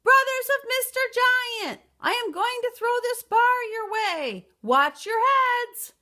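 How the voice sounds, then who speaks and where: A woman's voice: very high voice, expressive, takes it slowly, sharp tone, voice pushed up, full character voice. A woman voicing a clever, bold and polite little girl in a storybook, speaking with confidence. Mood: loud calling. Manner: confident, calling out boldly.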